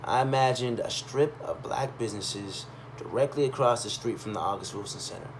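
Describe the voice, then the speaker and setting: A man reading a first-person essay aloud in an expressive, conversational storytelling way, with dramatic pauses and emphasis. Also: medium pitch, background noise.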